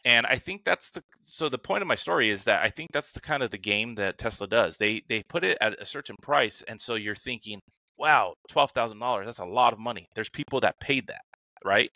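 A man's voice: mid-range voice, expressive, fairly quick, pushed and bright, dry recording. A man co-hosting a podcast over a remote call, telling stories casually and acting out his inner dialogue animatedly. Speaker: podcast co-host. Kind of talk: casual podcast chat, anecdotal storytelling.

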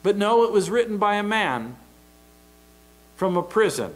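A middle-aged American man preaching a sermon from a church pulpit into a pulpit microphone, emphatic and deliberate. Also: sits high, big pitch swings, low-quality recording. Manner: emphatic, deliberate preaching with open hand gestures. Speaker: pastor.

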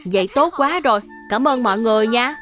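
A woman's voice: on the high side, lively intonation, fast talker, close to an everyday voice. A woman voicing an earnest, polite little boy in a dubbed children's cartoon. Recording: music behind the voice.

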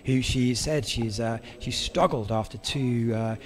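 A man commentating a canoe race in a casual, halting way, with many restarts and fillers. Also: medium pitch. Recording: crowd noise behind. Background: crowd at a regatta.

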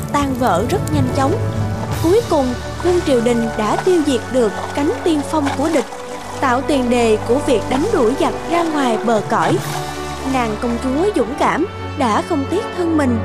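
High-pitched woman narrating a story. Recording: music under the voice.

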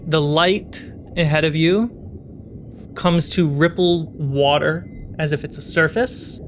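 Male game master, slightly high voice, conversational narration, faint noise and ambience behind. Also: steady tone, slow pace. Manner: casual, conversational. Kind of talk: narrating and refereeing a tabletop fantasy game.

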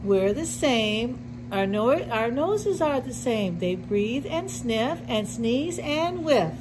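A middle-aged woman reading a picture book aloud to young children, with deliberate simple phrasing and little asides. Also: on the high side, sing-song, noisy background. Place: indoor classroom with sink and counter.

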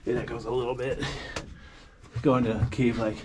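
A man's voice: mid-range voice, little pitch movement, normal speed. A man thinking aloud as he films his way through a lava tube cave, rambling and correcting himself as he goes. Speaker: cave explorer filming a walkthrough. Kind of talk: casual spoken vlog narration. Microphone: handheld camera. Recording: loud noise, echoey cave.

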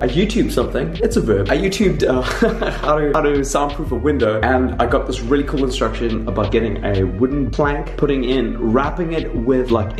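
A man's voice: mid-range voice, a little mellow. A South African man in his thirties chatting casually and informally to camera as a vlogger, thinking aloud as he goes. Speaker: gaming vlogger and host. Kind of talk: casual vlog chat to camera.

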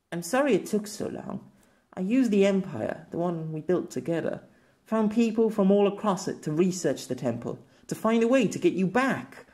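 A man's voice: sits high, darkish tone, voice pushed up. A man voicing an earnest, apologetic fantasy woman in an audiobook, explaining and pleading as she says farewell.

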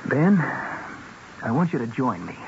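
An actor playing a politician with a troubled conscience in a 1970s radio drama, earnest and sometimes halting. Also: male voice, medium pitch, big pitch swings, creaky. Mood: inviting, earnest. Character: smooth-talking politician with a troubled conscience, a married man. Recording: dull, hissy 1970s radio broadcast.

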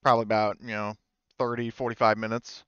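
A man running a tabletop game as game master, improvising narration and pausing mid-sentence. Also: lowish voice, flat delivery, fairly bright tone, clean tone, no room sound, muffled recording. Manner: halting, pauses mid-sentence.

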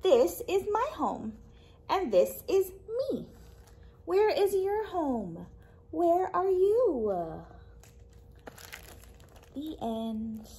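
A young woman reading a picture book aloud to small children, expressively, pausing for questions and little asides to her listeners. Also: very high-pitched, sing-song, warm tone. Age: young adult. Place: small indoor library room with a puppet theatre.

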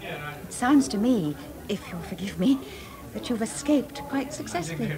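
A woman's voice: a little high, big pitch swings, a little slow. An actress playing a frank, slightly self-deprecating young woman painter in a 1980s radio drama. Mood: earnest. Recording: party crowd behind.

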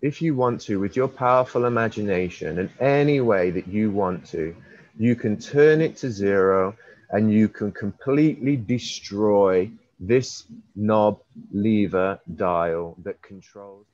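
Male hypnotherapist, low register, slow repeated suggestions stretched for emphasis, echoey room sound. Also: darkish tone. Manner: repetitive, drawn-out suggestive phrasing with emphasis. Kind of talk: guided hypnosis session. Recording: roomy, slightly dull recording.

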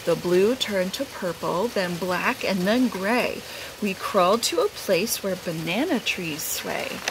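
A middle-aged woman, a teacher, reads a picture book aloud expressively, outdoors under a roof. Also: medium pitch. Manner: unhurried, animated. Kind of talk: expressive read-aloud storytelling. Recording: rain noise behind the voice.

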